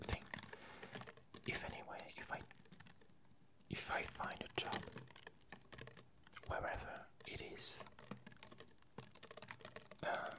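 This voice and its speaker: A woman giving a casual ASMR update in a whisper, speaking in halting short phrases with pauses. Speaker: ASMR video creator and host. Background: ice cubes clinking.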